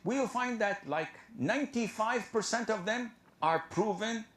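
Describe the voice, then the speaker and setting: A man's voice: high-pitched, normal speed, fairly bright tone. Earnest man preaching in an echoey room. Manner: earnest, lecturing. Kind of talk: religious lecture.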